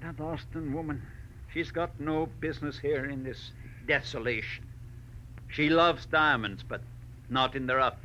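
Bright-voiced, fatherly older boss. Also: on the high side, steady tone, a little slow. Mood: disapproving. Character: kindly older male diamond-mine boss, rueful and fatherly. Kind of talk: 1940s radio drama acting. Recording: muffled, faintly noisy old radio recording.

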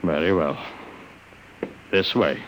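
An actor playing a nervous, secretive older professor, hesitant and formal, in an old radio drama. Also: male voice, low-pitched, slow. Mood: alarmed. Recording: noisy, crackly vintage radio recording.

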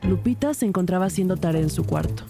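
A woman's voice: fairly low pitch, animated, quick pace. A woman playing a detective who narrates her case in a scripted audio drama. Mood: narrating. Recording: clear background music under voice.

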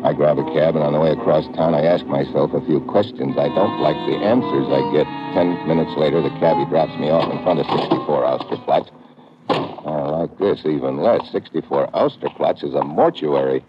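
A man's voice: very deep voice, a little fast. An actor playing a tough, wry secret agent who tells his case in clipped old-time radio drama style. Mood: grim. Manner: clipped, hard-boiled, wry delivery. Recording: muffled vintage radio recording with music.

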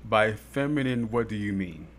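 A man's voice: on the low side, moderate pace, slight background noise. A man co-hosting a podcast, talking casually with plenty of fillers and frequent laughter. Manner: chatty, laughs a lot, many fillers. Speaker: podcast co-host. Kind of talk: casual chat.

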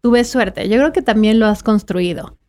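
A woman's voice: mid-range voice, moderate pace, a little mellow. A woman hosting a podcast interview, speaking in a supportive, affirming way. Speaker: podcast host.

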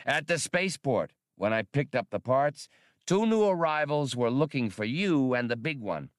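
Man voicing a female alien dealer, fat and outgoing, high chirpy voice, swooping pitch. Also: very animated, normal speed, no room sound, clean studio sound, lightly acted. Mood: sly.

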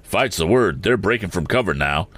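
A man reading the part of a gruff, forthright sheriff eager to lead a raid in a storybook. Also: sits low, lively intonation, moderate pace, fairly bright tone, heavily acted. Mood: alert, eager.

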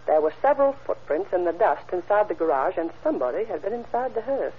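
A woman's voice: mid-range voice, big pitch swings, fast talker, natural voice. An actress playing an observant, obliging woman giving careful witness testimony in an old-time radio crime drama. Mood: helpful, careful. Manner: careful, matter-of-fact, helpful. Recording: muffled old radio recording, background hiss.

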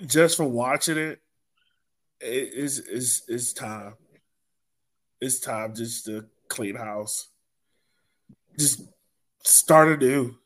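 A man's voice: mid-range voice, very slow, long pauses, fairly bright tone. A man hosting a casual sports podcast discussion, talking informally and conversationally with his co-hosts. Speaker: podcast host.

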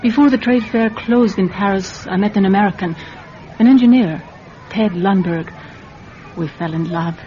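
A woman's voice: mid-range voice, normal speed, warm tone, some chatter behind, a little hiss or hum, close to an everyday voice. An actress playing a proud, emotional woman drawn into espionage in a radio drama, speaking with earnest, wounded intensity. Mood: haunted, sorrowful. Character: proud, emotional young woman volunteering as a spy. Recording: dull radio recording with faint music. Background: faint music.

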